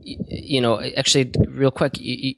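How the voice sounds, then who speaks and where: A man's voice: medium pitch, flat delivery, normal speed, creaky, fairly bright tone, no echo. A man interviewing a guest in casual chat, feeling his way through questions with digressions and tentative phrasing. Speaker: podcast host, interviewer.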